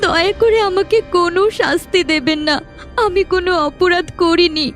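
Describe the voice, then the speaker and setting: Woman as an innocent young cartoon girl, high voice. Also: moderate pace, faint music behind. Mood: pleading. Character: innocent, wronged young village girl, earnest and tearful. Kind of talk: Bangla fairy-tale cartoon dubbing.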